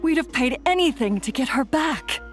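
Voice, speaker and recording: An actress playing an anxious, caring traveller in a video game, earnest and worried in manner. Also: female voice, high voice, expressive, moderate pace, faint music behind.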